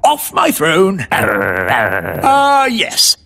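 Bumbling cartoon king, wildly swooping pitch. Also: wildly swooping pitch, extremely slow, vocal fry, loud music behind the voice, exaggerated voice. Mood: easygoing, sheepish. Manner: genial, blustering, indecisive. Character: bumbling, soft-hearted fairy king, a middle-aged father. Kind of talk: children's cartoon acting.

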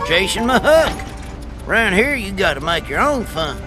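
An actor with a Southern US accent voicing a goofy, good-natured rusty tow truck in a video game, dopey and rambling. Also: male voice, high voice, big pitch swings, moderate pace, music in the background. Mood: cheerful.

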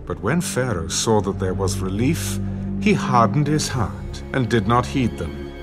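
A man narrating scripture in a scripted dramatic style, solemn and measured. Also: fairly low pitch, sing-song. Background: music.

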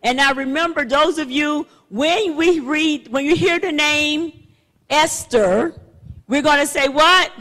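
A middle-aged woman pastor leading a church service on a handheld mic, chatty and loose, halting with fillers and restarts. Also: high-pitched, bright, forceful tone, slight background noise. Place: church sanctuary, indoors. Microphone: handheld microphone. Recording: long church hall reverb.

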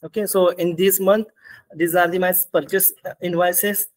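Man, Indian accent, high voice, webinar presenter, halting software walkthrough, repetitive phrasing. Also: level tone. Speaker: webinar presenter, ERP consultant. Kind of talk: software demonstration and slide walkthrough. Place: indoor room, on a video call.

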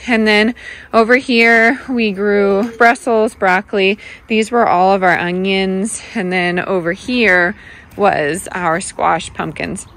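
A woman's voice: mid-range voice, fairly even intonation, slow pace, fairly bright tone. A young woman vlogging casually to a handheld phone, chatty and upbeat, with filler words. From United States. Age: young adult. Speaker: family vlogger. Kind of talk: casual vlog talk to camera. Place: indoors room, then snowy backyard outdoors. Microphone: phone held at arm's length.

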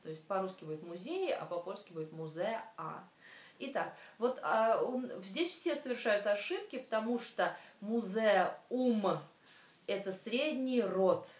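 A woman in her thirties teaching a language lesson straight to camera, talking casually with frequent hesitations and slowing down for careful examples. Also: medium pitch, animated. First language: Russian. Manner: chatty, many hesitations, slow careful Polish examples. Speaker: Polish language teacher and show host. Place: small room, indoors. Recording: echoey room, voice from some distance.

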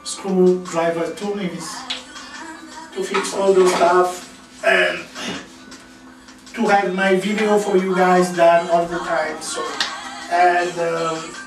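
A man's voice: sits high, takes it slowly, pauses often. A middle-aged man from Congo with a Congolese French accent, talking casually to camera in a home vlog, rambling and repeating himself with many fillers. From Democratic Republic of Congo. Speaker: video host. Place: small home bathroom, indoors. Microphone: camera mic from across the room. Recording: roomy echo, distant camera mic, music behind.

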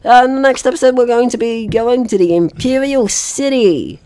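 A woman's voice: on the high side, moderate pace, warm tone, no echo. A woman giving casual, rambling and playful let's play commentary as host, swearing now and then. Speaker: gaming video host.

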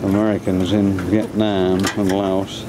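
A man casually narrating his travel video outdoors on a river trip, pausing with fillers. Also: sits low, monotone. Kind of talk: casual commentary while filming. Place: outdoors on a river boat and village. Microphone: camera mic. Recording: loud outdoor background noise.

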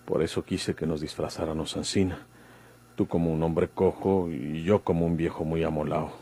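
A man's voice: extremely low pitch, fairly even intonation, normal speed, dark tone, husky. An actor playing a crafty, fatherly outlaw disguised as a worn old man, with a rustic northern Mexican accent, in an old radio drama. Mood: confiding. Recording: noisy old radio recording with hum.

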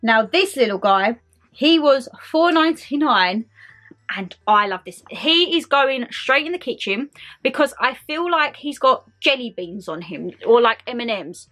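A young woman, chatting casually to viewers in a haul vlog, a bit congested and hoarse from a cold. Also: a little high, expressive, measured pace, fairly bright tone. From United Kingdom. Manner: bubbly, chatty, talks straight to viewers. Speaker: haul vlogger. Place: bedroom decorated for Christmas, indoors.

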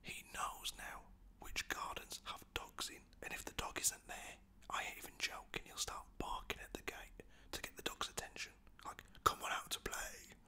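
A man from Lancaster, softly whispering rambling stories about pets as a dog walker. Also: moderate pace. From Lancaster, England. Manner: soft whispering, rambling and chatty. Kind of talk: whispered ASMR storytelling.

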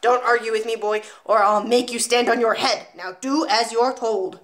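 A woman's voice: medium pitch, expressive, normal speed. A woman reading aloud, voicing a huge, tyrannical headmistress who barks and bellows at children. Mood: scornful. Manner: barking, loud, contemptuous.

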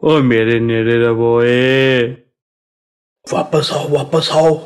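Excitable Punjabi squadmate, drawn-out shouts. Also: male voice, medium pitch, smooth. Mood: commanding. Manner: exclamatory, drawn-out calls. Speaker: game voice pack character. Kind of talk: short tactical game callouts.

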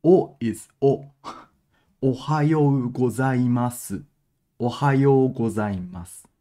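A young Japanese man with a Japanese accent, teaching a live-streamed lesson in halting English with many restarts, switching to quick Japanese asides, at a webcam in a small room. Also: mid-range voice, dark tone.

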